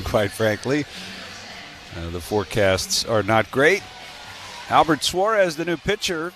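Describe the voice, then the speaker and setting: A man's voice: mid-range voice, fairly bright tone. A man with an American accent calls baseball live on the radio, mixing play-by-play with casual banter. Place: stadium broadcast booth open to the field. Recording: clear outdoor ballpark ambience noise.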